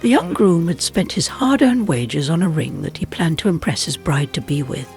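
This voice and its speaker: Higher-voiced male storyteller, lively delivery. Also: normal speed, gravelly, faint music behind. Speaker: storyteller narrator. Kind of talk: scripted folk tale narration.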